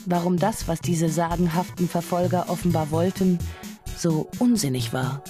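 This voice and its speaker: A woman's voice: lowish voice, fairly even intonation, moderate pace. A woman narrating a scripted radio drama in literary prose. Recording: studio voice over clear music.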